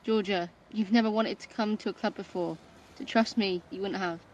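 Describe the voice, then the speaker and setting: A woman's voice: mid-range voice, fairly even intonation, normal speed, darkish tone, highs cut off, a little hiss or hum, lightly acted. A woman playing a bold, knowing, dismissive young clubgoer in a dystopian audio drama. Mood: teasing, assured. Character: bold, teasing young woman who frequents clubs.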